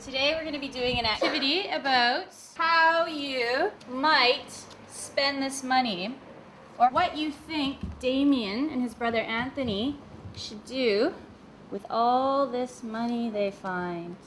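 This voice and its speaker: High-pitched female teacher in echoey classroom. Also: slow pace, fairly bright tone. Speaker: primary school teacher. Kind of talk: addressing a class of children. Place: school classroom. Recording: echoey classroom with faint background noise.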